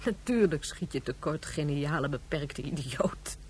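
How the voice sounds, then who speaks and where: Husky, impassioned mother, swooping pitch. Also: lively intonation, unhurried, a little mellow, heavily acted. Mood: pleading. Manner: emphatic, pleading. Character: passionate, exasperated mother rallying her family. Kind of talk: radio drama acting.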